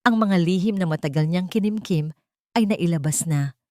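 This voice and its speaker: A woman with a fairly low voice reads a dramatic audiobook story. The delivery is scripted narration, and the sound is dry and close, with little room in it. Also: moderate pace, darkish tone, no room sound.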